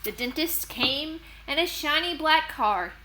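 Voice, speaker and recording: A woman narrating for children as an expressive read-aloud storyteller and host. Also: high-pitched, a little slow, a little hiss or hum. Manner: expressive, clearly addressed to children.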